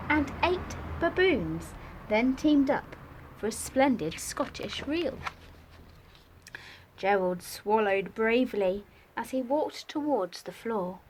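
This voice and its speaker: A woman's voice: medium pitch, slight background noise, low-quality recording. A woman reading a story aloud to young children expressively, like a primary teacher.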